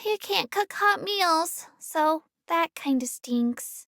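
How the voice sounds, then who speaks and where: A woman's voice: very high voice, animated, slow pace, a bit bright, dry recording, clean studio sound, heavily acted. A woman voicing a small, girlish creature tour guide in a game, earnest, polite and a little hesitant as she gives her commentary. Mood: candid, informative. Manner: polite, earnest, slightly hesitant, self-effacing.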